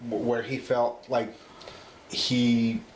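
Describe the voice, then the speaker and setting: A man's voice: medium pitch, little pitch movement, extremely slow, a crowd in the background. A man from Mexico, chatting casually as a guest on a live stream, easygoing and full of fillers. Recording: roomy echo.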